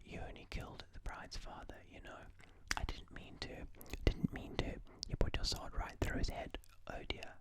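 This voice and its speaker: An ASMR host reading out quotes in a breathy, unvoiced whisper, with deliberate phrasing and pauses.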